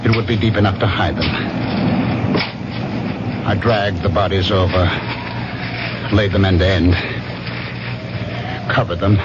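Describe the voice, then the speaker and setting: An actor playing a brooding, haunted killer who narrates his crime haltingly in a 1970s radio drama. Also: deep voice, steady tone, heavy background noise. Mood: grim, haunted. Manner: halting, dramatic, deliberate phrasing. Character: brooding, haunted man confessing to killings. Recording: dull 1970s radio broadcast, music behind.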